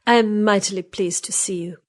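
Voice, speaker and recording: A man's voice: high-pitched, normal speed, voice pushed up, close to an everyday voice. A man reading an audiobook, voicing a witty, bored young noblewoman who speaks merrily and archly. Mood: arch, teasing. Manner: merry, arch, teasing.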